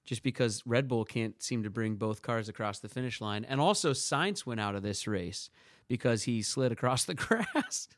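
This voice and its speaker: A man's voice: mid-range voice, animated, moderate pace, dry recording, clean studio sound. A young man chatting casually on a podcast, rambling and laughing often. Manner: rambling, laughs often, does silly voices. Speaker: podcast co-host. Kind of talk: casual chat about motor racing.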